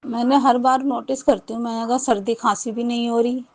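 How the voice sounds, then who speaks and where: Higher-voiced woman telling a rambling testimony. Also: flat delivery, brisk, darkish tone. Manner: rambling, many restarts and repeated thanks. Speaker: devotee sharing a testimony. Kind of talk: personal testimony.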